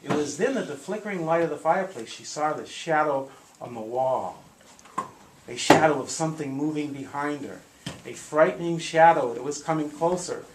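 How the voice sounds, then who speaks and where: A man telling a story theatrically and deliberately to a group, with playful asides. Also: a little high. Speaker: live storyteller. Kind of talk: dramatic spoken storytelling to a live group. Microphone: small consumer video camera in the room. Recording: echoey noisy room, consumer camcorder audio.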